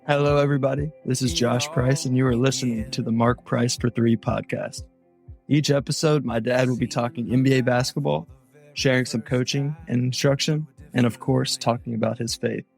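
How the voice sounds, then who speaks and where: A man's voice: medium pitch, fairly even intonation, normal speed, vocal fry, a little mellow, soft background music. A man reading a scripted podcast introduction. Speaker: show intro announcer.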